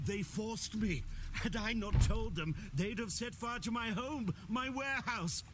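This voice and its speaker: A man's voice: sits high, a little slow, noisy background. A man voicing a pompous, oily, cowardly merchant in a fantasy game, with formal, mannered speech. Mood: terrified pleading. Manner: formal, haughty, turning to grovelling. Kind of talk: video game voice acting.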